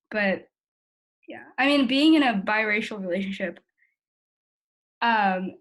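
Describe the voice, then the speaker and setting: A woman's voice: medium pitch, takes it slowly. An eighteen-year-old woman with an American accent talking candidly and informally, reflecting on herself with lots of "like". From born in the Philippines, grew up in the United States. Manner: informal youthful talk, heavy use of "like", self-reflective. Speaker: interviewee in a family conversation. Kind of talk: candid casual conversation.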